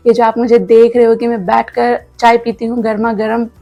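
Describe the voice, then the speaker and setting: An Indian woman in her thirties chats candidly with her viewers from home, her fairly high voice a little scratchy from a sore throat. She sounds earnest and mixes English words into her talk. The recording is close and dry, with no room echo. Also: level tone, moderate pace, muffled recording. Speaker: vlogger. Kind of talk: casual vlog talk to viewers. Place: home kitchen.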